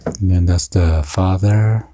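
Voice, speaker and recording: A man giving halting, murmured ASMR commentary as he hosts an unboxing, with short item-by-item remarks. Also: sits low, steady tone, background noise. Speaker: unboxing video host.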